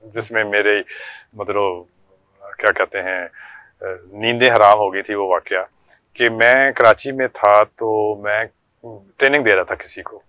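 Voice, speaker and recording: A man's voice: fairly low pitch, steady tone, measured pace, pushed and bright, no echo. An older man with a Pakistani accent telling stories from his working life as an interview guest, in a conversational way with reported dialogue. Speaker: guest, former air traffic controller.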